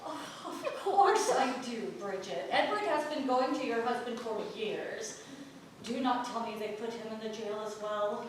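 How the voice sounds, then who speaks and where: A woman's voice: fairly high pitch, lively intonation, unhurried. An actress playing a proud, wry married townswoman of early modern England in a staged play reading. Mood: wry concern. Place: playhouse stage. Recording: echoey theatre from far off, faint noise.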